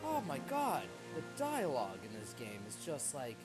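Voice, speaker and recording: A man's voice: mid-range voice, wildly swooping pitch, moderate pace, loud music behind the voice. A man giving casual, joking commentary as he plays a video game.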